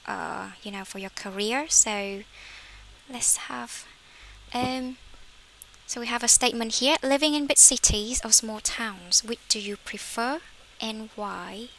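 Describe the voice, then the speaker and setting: A woman's voice: a little high, unhurried, gravelly, bright, forceful tone, slight background noise, low-quality recording. A woman, an English teacher leading a class conversation and correcting her students' phrasing. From Vietnam.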